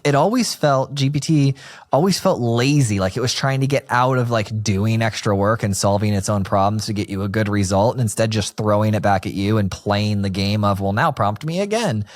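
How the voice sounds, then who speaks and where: A male podcast host with a fairly low voice tells an anecdote in a loose, chatty way. He leans heavily on "like" as he talks. The sound is close and dry. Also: no echo. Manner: chatty storytelling, heavy use of "like". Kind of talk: casual podcast chat.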